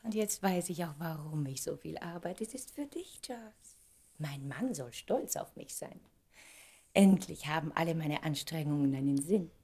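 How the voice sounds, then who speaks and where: Dreamy, effusive stage diva in love. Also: female voice, low voice, lively intonation, normal speed, warm tone, exaggerated voice. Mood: tender, dreamy. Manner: theatrical, dreamy, swinging from breathy murmurs to exclamations. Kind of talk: German radio play acting.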